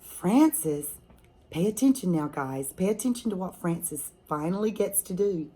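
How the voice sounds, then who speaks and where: A woman teacher reading a picture book aloud to children, expressively and deliberately, pausing to question her young listeners. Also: lowish voice, animated, warm tone, breathy edge, poor audio.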